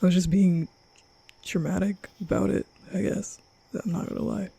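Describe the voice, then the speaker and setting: A man playing a nervous, kind-hearted young vampire in an ASMR roleplay, speaking softly and often whispering, with hesitant, flustered stammering. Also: high-pitched, fairly even intonation, moderate pace, full character voice. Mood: hesitant, softened. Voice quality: soft, breathy, often whispering.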